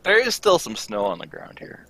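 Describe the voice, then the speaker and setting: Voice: medium pitch, wildly swooping pitch, normal speed, bright, forceful tone, a little hiss or hum. Dryly joking, very varied intonation. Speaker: cast member on a livestream. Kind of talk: casual chat.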